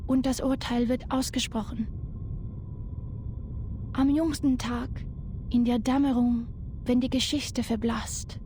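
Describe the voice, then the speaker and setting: High, slow, incantatory female reciter. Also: mellow, a little hiss or hum. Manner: incantatory, measured. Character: entranced female reciter of a solemn apocalyptic poem. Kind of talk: slow dramatic poetry recitation.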